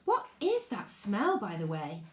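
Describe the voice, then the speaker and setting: A woman's voice: medium pitch, huge pitch swings, unhurried. Woman reading as a dry young girl, echoey room. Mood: pointed.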